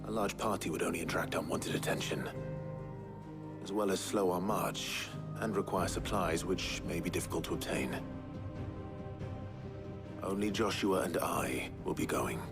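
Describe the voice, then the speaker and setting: A gruff heroic leader from a fantasy game, very low and gravelly. He gives plain orders with dry resolve, over clear background music. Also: measured pace, pauses often. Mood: resolute, commanding. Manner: plain, commanding, dryly humorous. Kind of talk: video game voice acting.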